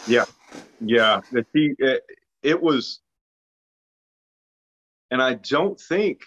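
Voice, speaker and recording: A man's voice: medium pitch, lively intonation, drawn-out words, fairly bright tone. A man from Arkansas, an actor being interviewed, talking casually with frequent restarts and self-deprecating remarks. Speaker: actor guest. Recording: dull lo-fi sound.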